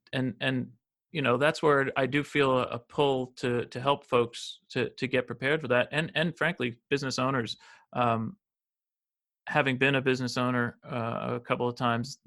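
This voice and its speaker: A man's voice: medium pitch, fairly even intonation, normal speed, fairly bright tone. An American man in his fifties, a financial advisor talking as a podcast guest, rambling with many restarts and fillers. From United States. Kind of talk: casual interview conversation.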